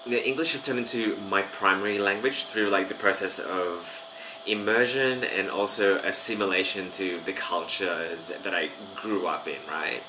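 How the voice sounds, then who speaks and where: A man in his thirties with an Australian accent, a language teacher chatting casually to camera with many fillers and pauses, seated in a small room. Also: deep voice, background noise. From Vanuatu. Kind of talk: casual video talk to camera.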